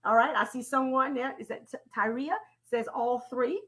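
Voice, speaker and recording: A woman leading an interactive training talk in a deliberate, teacherly way, putting questions to her audience and repeating key phrases. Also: sits high. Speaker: presenter leading a training session. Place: online conference call with slides.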